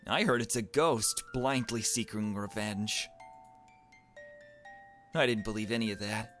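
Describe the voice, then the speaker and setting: Bright-voiced man playing through a story game. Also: fairly low pitch, measured pace, soft background music. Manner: jokey, irreverent asides. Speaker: gaming video host reading a visual novel aloud. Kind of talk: let's play, dramatic reading mixed with joking commentary.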